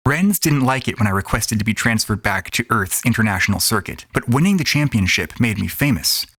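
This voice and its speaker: A man's voice: fairly low pitch, expressive, brisk, fairly bright tone, no echo, clean studio sound. A man playing a jaded antigravity racing pilot, telling his story in a blunt, colloquial, world-weary way. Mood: reflective. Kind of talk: first-person audio drama narration and acting. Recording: voice over music. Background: music.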